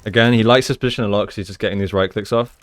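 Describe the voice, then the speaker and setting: Smooth, low-voiced man analysing gameplay. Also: steady tone, very fast, no room sound. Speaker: esports coach and commentator. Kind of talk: casual gameplay analysis commentary.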